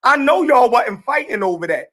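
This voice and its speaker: Bright high-voiced man pressing his point. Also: very animated, normal speed. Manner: insistent, keeps cutting in to explain his point. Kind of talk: heated group conversation.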